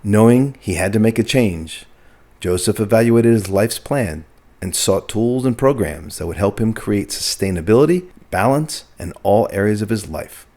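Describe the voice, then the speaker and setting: A man's voice: fairly low pitch, expressive, normal speed, mellow. A male podcast host giving an earnest, effusive introduction and asking questions, with fillers and restarts.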